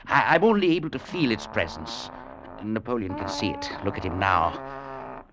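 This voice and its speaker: A haunted, agitated professor stammers through his worries in an old-time radio drama. His voice sits in a middle pitch and he sounds shaken. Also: sing-song, normal speed, background noise. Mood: anxious. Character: nervous, haunted middle-aged male professor. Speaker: professor and scientist. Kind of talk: 1940s radio acting.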